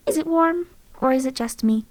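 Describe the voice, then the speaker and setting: A woman's voice: high-pitched, normal speed, dry recording, natural voice. A woman voicing a female game companion who is a walking weapons platform, reciting data in a precise, matter-of-fact way. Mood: factual. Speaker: voiced companion character in a game mod.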